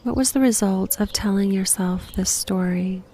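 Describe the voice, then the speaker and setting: A woman guiding a meditation in a gentle, unhurried, breathy voice that sometimes nears a whisper, giving slow reflective prompts. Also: medium pitch. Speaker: guided meditation host.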